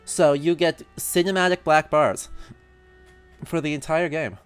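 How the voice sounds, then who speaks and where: A male streamer with a clear, smooth voice pitched slightly high, chatting casually as he plays. His delivery is wry and drifts into scholarly analysis, with faint game music underneath. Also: steady tone, measured pace. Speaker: live streamer.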